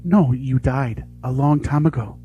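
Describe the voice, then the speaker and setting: A man's voice: mid-range voice, unhurried, mellow, lo-fi sound, faint music behind, close to an everyday voice. A man voicing a yearning, frightened elderly woman in an audition drama, with an emotional, pleading manner. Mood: shocked.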